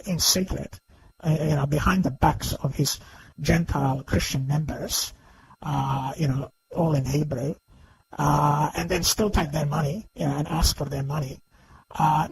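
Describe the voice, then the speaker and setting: A man giving unscripted, argumentative commentary in a halting but emphatic way, repeatedly seeking agreement. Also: on the high side, gravelly, breathy edge, low-quality recording. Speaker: online video commentator. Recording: faint background noise.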